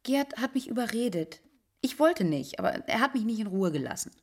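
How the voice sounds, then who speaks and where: An actress playing a bitter, bereaved young woman in a radio drama, blunt and defensive, with a husky voice. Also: a little high, big pitch swings, brisk. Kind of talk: radio drama, interview-like monologue.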